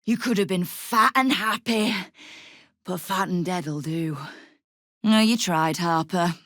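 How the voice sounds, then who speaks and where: Tough female thieves' guild boss, deep for a woman, creaky edge, sardonic, commanding, fantasy video game character. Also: takes it slowly, a bit bright, dry recording, studio quality.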